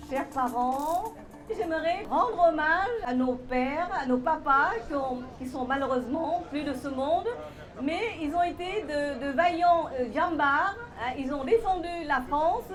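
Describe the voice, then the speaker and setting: High-voiced woman giving a halting tribute. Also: animated, faint music behind. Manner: halting, heartfelt, repeating words. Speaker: member of a Vietnamese-Senegalese community giving a tribute. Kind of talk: informal tribute speech at a gathering.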